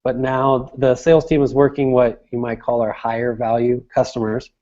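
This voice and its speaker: A man with a mellow, soft-edged voice at a medium pitch answers as an interview guest. He explains things conversationally, restating phrases as he goes. The audio is slightly dull and lo-fi. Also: little pitch movement, normal speed. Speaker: interview guest, sales director.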